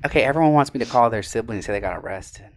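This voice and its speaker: A man's voice: medium pitch, lively intonation, rapid-fire. A man co-hosting a casual livestream with banter and prank calls, talking in a slangy, sassy way. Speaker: co-host making prank calls.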